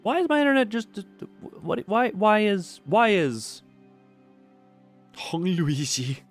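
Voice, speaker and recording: Slightly high-voiced rambling male game streamer. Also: sing-song, takes it slowly, faint music behind. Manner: rambling, self-correcting. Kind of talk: casual live game commentary.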